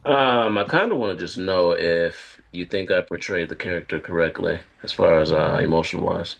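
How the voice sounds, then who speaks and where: A man's voice: low voice, moderate pace, vocal fry, lo-fi sound. A man who is an actor, chatting casually in a Q&A after a script reading. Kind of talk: casual Q&A comment.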